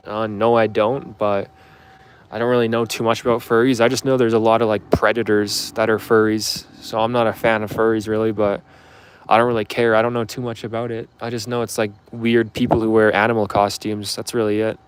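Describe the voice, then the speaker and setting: A man's voice: fairly low pitch, flat delivery, moderate pace, clear, resonant tone. A man in his twenties chatting casually on a livestream, answering viewer questions in a rambling, offhand way full of fillers. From Canada. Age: 20s. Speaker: livestream host.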